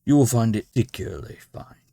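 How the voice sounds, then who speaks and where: Attentive French gentleman host, read by a man; courteous, lowish, storybook tone. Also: sing-song, quick pace, creaky, dry recording. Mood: hospitable. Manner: courteous, hospitable. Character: genial French asylum superintendent, attentive dinner host. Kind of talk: storybook character voice.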